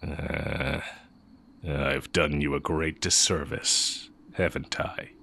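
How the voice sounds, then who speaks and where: A man playing an exiled heretic magic teacher, sly, brooding and tender, in an intimate, deliberate audio roleplay performance. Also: very deep voice, fairly even intonation, creaky, bright, forceful tone, a little hiss or hum, heavily acted. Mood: regretful. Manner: deliberate, teasing, with long pauses.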